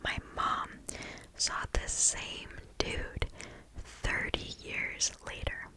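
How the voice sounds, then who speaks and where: A woman whispering breathily close to the microphone, reading stories aloud in a hushed, deliberate ASMR style. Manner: slow, hushed, deliberate storytelling.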